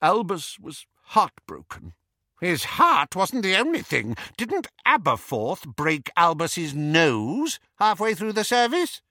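Old witch, malicious and gleeful, shrill cackle, dry close sound; male reader. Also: sing-song, takes it slowly, sharp tone, no room sound, heavily acted. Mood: gossiping. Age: older. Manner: cackling, needling, high-pitched. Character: shrill gossiping old witch, spiteful and relishing.

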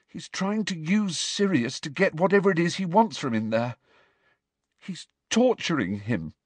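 Audiobook role: insistent, fiery teenage boy wizard, played by a man, raised pitch, swinging intonation, close dry sound. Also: dry recording. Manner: loud, urgent, shouting when challenged. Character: frantic, hot-tempered teenage boy wizard, urgent and insistent. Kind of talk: audiobook character acting.

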